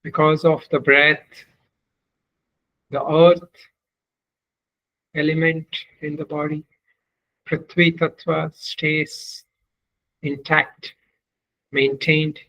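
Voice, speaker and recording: A man leading a guided meditation as a teacher, speaking in measured, deliberate phrases with long pauses between them. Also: on the high side, flat delivery, lo-fi sound.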